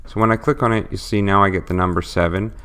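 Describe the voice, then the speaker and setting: A man's voice: low-pitched, steady tone, quick pace, a bit bright, smooth. A man teaching a step-by-step electronics tutorial, explaining patiently and thinking aloud as he works. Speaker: electronics instructor.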